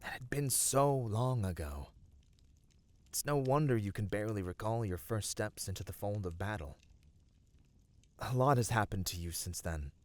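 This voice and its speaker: A man playing a gentle, devoted male battle dancer of a fantasy race in an ASMR roleplay, speaking earnestly and soothingly with dramatic pauses. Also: on the low side. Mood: soothing, fond. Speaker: voice actor.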